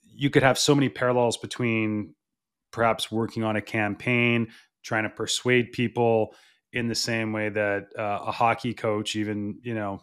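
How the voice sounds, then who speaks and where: A man's voice: fairly low pitch, little pitch movement, moderate pace, fairly bright tone, smooth. A man interviewing a coach, asking long, hesitant questions full of restarts and you-knows. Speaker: interviewer, podcast host.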